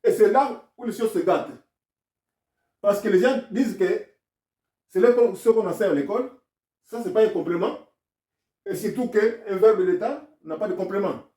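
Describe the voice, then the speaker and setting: A man with a higher-than-usual voice speaks French with a non-native accent, setting out a grammar lesson point by point. He sounds insistent and argumentative as he explains. The recording is roomy and echoey, with the mic set back from him. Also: normal speed, pauses often, mellow, distant mic. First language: not French. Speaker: self-taught grammar commentator.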